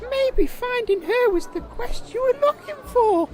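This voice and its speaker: A woman's voice: extremely high pitch, animated, unhurried, a little mellow, some chatter behind. A woman playing a meek, loyal, hesitant boy squire in a comedic fantasy audio drama. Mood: meek, earnest. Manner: polite, hesitant, deferential.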